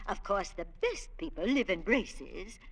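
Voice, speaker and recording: A woman's voice: medium pitch, expressive, a little slow. An actress in a vintage radio drama playing a haughty, snobbish society lady with an imperious, affected manner. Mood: grandly sociable. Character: haughty, snobbish society woman, cruel to servants. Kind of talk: 1940s-50s radio drama acting.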